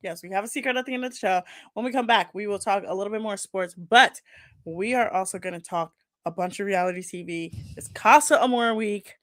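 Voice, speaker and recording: A woman's voice: medium pitch, brisk, dry recording. Us woman podcast host, mid voice, gossipy casual chat, really dry audio.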